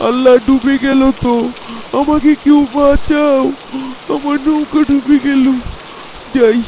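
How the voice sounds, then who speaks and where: High-voiced man acting a village fisherman father. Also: a little mellow, a little hiss or hum, low-quality recording. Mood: panicked, pleading. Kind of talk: dubbed moral story cartoon acting.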